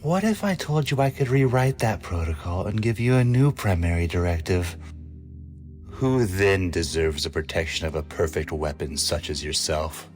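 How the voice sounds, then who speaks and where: Radio drama con-man hacker played by a man, deepish voice, cocky and persuasive, faint music behind. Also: moderate pace, darkish tone, lightly acted. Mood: sly, persuasive. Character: cool, cocky male hacker and hustler.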